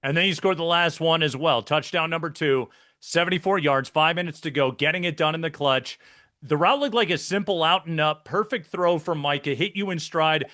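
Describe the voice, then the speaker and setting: A man's voice: fairly high pitch, normal speed, sharp tone. A man hosting a sports interview show, with a polished, upbeat broadcaster delivery. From mainland United States.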